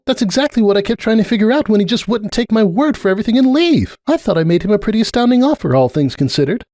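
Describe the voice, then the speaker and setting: A man voicing a gently stubborn female pony mother in a dramatic fan fiction reading, hesitant and earnest, quick to defend herself. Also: high-pitched, no room sound, clean studio sound, pitched above the performer's own voice. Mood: exasperated.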